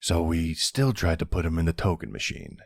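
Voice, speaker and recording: Man playing a deep, gravelly flirty mafia boss. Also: normal speed, no room sound, clean studio sound. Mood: amused reminiscing.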